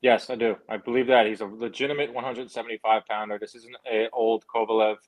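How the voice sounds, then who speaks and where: A man's voice: on the low side, steady tone, quick pace, low-quality recording. A young man answering interview questions as a boxing guest, speaking in emphatic, confident short statements. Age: young adult.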